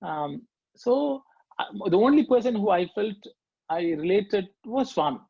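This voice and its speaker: A middle-aged man with a Sri Lankan accent, recounting his past in a reflective, halting way as a guest speaker. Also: high-pitched, lively intonation, vocal fry, slightly rough, no room sound. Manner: reflective, halting with fillers and restarts. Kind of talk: personal testimony talk. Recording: muffled lo-fi dry audio.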